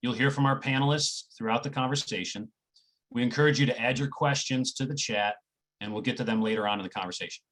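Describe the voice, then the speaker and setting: A man moderates a career webinar over a video call, brisk and upbeat as he moves through prepared transitions. His voice sits at an ordinary middle pitch. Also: a bit bright, low-quality recording. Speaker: panel moderator.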